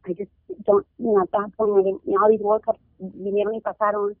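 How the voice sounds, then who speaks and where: A woman's voice: medium pitch, level tone, quick pace, no room sound. A woman from Southern California, born in Mexico, telling personal stories casually as a podcast guest, mixing in some Spanish. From born in Mexico, raised in Southern California. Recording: phone-like, narrow-band call audio.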